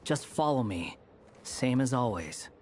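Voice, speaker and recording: A man's voice: mid-range voice, lively intonation, a little slow, darkish tone, a little hiss or hum, lightly acted. A man voicing a grumpy, aloof young man turned stray cat in a video game, curt and sardonic. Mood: resigned, curt. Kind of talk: animated video game voice acting.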